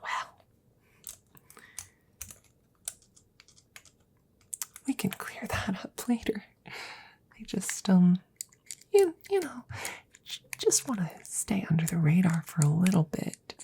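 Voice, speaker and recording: A woman playing a worried, devoted, nerdy scientist best friend in an ASMR audio roleplay, speaking softly and breathily up close, often whispering, in a hesitant, rambling, reassuring way. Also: medium pitch, lively intonation, takes it slowly, pauses often, breathy edge, full character voice. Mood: nervous, sheepish. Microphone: close microphone.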